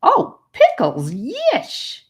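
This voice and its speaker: A woman voicing an excitable, impatient and greedy young boy in an audiobook, exclaiming and demanding. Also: high voice, extremely slow, pitched above the performer's own voice, heavily acted. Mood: excited. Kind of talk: audiobook character voice.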